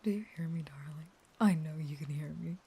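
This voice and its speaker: A woman playing a starving, caged vampire lover in an intimate audio roleplay, breathy and mostly whispering, unstable, possessive, pleading and threatening. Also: low-pitched, fairly quick, warm tone, full character voice. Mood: bitter, threatening.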